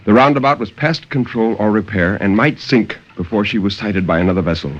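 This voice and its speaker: A man's voice: lowish voice, fairly quick, natural voice. Muffled old-radio sea captain played by a man, dramatic. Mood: grave. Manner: theatrical, intense, formal diction. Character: sea captain recounting his ordeal, stern and dramatic. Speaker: storyteller. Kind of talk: 1950s dramatic horror radio narration. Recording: dull, lightly noisy 1950s radio recording.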